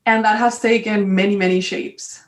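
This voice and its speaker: A woman's voice: mid-range voice, moderate pace, echoey room, distant mic. A Colombian woman with a Spanish accent, guest on a podcast, chatting openly and laughing often. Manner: warm, giggly, expressive. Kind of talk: casual conversation.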